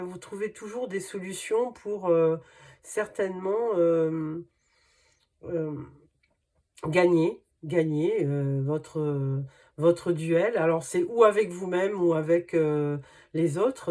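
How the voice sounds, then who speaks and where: A woman's voice: mid-range voice, darkish tone. A woman with a cold and a nasal voice giving a tarot reading to her viewers, hesitant and full of fillers. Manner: hesitant, many fillers and restarts. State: has a cold. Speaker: tarot card reader.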